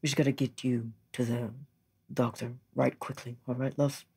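A man's voice: mid-range voice, little pitch movement, normal speed, dark tone, breathy edge. A man playing a tender, protective male sailor in a roleplaying game, soothing and plain-spoken.

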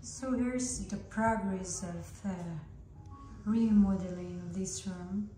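A woman films a renovation with her phone, speaking very slowly and haltingly as she points things out and praises her husband's work. Her voice bounces around a bare, echoey room. Steady background noise sits under her words. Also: medium pitch. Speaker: vlogger showing home renovation. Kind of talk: casual home renovation walkthrough. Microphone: phone held while filming.

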